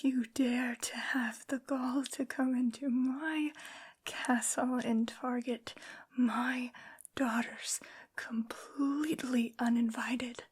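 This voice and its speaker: A woman performs a haughty vampire noblewoman in an ASMR roleplay. Her high voice is very breathy, mostly whispered, and she draws out each imperious, menacing phrase extremely slowly. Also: steady tone, heavily acted. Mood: wounded, scornful. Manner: imperious, slow, drawn-out scolding. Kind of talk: soft-spoken ASMR roleplay.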